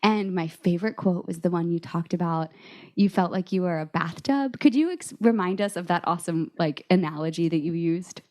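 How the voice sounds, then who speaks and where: A woman's voice: fairly low pitch, brisk, darkish tone. A woman, hosting a podcast in an upbeat, chatty way. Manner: upbeat and chatty, addresses listeners as y'all. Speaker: podcast host.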